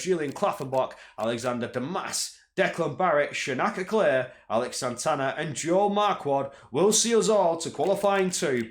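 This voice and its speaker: Energetic man calling a race, echoey room. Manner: energetic play-by-play that climbs in pitch at tense moments; chatty asides during quiet spells. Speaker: race commentator. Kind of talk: solo live-stream sim racing commentary.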